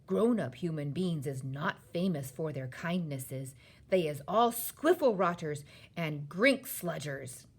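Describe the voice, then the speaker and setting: A woman voicing a gentle, stubborn, gloomy male giant from a children's storybook, with muddled folksy grammar and invented words. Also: deep voice, lively intonation, slow, husky, exaggerated voice. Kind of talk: children's storybook character reading.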